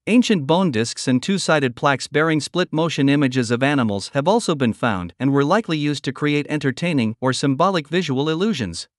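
Man reading a measured documentary narration. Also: a little high, dry recording, studio quality.

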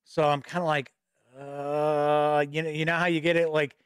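A man's voice: on the high side, level tone, moderate pace, clean tone, dry recording. Chatty middle-aged man, slightly stuffy. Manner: chatty and upbeat, reading news with asides. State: a little stuffy with a starting cold. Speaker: host and editor-in-chief of a tabletop gaming news show. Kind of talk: casual live-stream news chat. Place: home studio with shelves of games behind. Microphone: studio mic on boom arm close to mouth.

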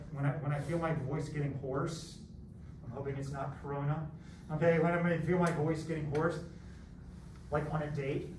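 A middle-aged American man lecturing as a college math teacher in an animated, rambling, self-deprecating and theatrical way. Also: on the high side. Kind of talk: classroom lecture with rambling asides. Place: classroom, masked, in front of students. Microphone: far camera at back of room. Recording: roomy echoey classroom, distant mic, background noise.

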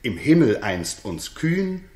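Courtly king, lively roomy delivery. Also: medium pitch, takes it slowly. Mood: fond, courtly. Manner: formal, eloquent, courtly. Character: courteous visiting king of Bohemia, warm old friend. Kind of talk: 1960s German radio drama, verse declamation. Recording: roomy 1960s radio studio.

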